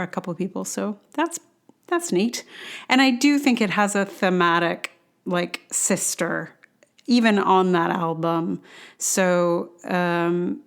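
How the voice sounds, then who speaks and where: A woman's voice: fairly low pitch, vocal fry. A middle-aged woman hosting a podcast solo in casual, rambling talk that often interrupts itself and apologizes. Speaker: podcast host.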